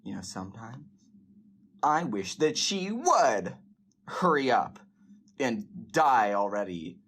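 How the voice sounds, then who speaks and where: A woman playing a bitter, neglected husband in an audio drama, halting, sarcastic and aggrieved. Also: extremely low pitch, big pitch swings, husky, heavily acted. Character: resentful, neglected, bitter husband in a failing marriage.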